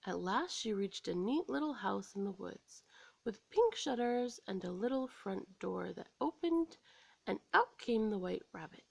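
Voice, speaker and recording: An American woman storyteller reading a scripted children's story aloud in an expressive, dramatic way. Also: mid-range voice, unhurried, vocal fry, no echo.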